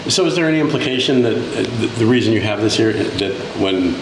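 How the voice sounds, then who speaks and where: Low-voiced man in an echoey, noisy hall. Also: lively intonation, quick pace. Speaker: interviewer and video host. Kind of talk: casual chat with questions and opinions.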